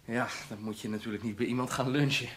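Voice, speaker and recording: A man's voice: mid-range voice, fairly even intonation, fast talker, slight background noise, lightly acted. A man playing a chatty, slightly shy architect in a Dutch radio play, with a polite, tentative manner.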